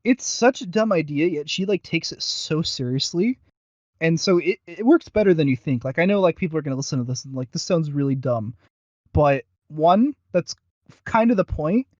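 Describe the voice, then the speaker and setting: Male podcast co-host, halting and enthusiastic, casual reviewer chat, very dry close sound with dulled fidelity. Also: on the high side, expressive, darkish tone, no echo, dull audio.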